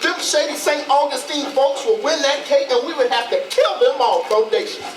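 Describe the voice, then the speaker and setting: A man acting a flirtatious, cajoling man in a stage play, with an animated, sweet-talking manner. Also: extremely high pitch, moderate pace. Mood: excited. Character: flirtatious, cajoling Southern man on a train outing. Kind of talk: readers theatre stage acting. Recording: echoey, distant, noisy ambience.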